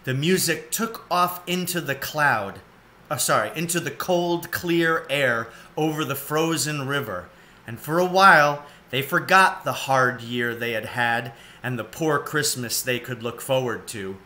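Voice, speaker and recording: A man in his forties narrates a children's storybook at a slow, measured pace. His voice is bright and forward, at an ordinary male pitch. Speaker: storybook reader. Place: cluttered indoor room at home, seated.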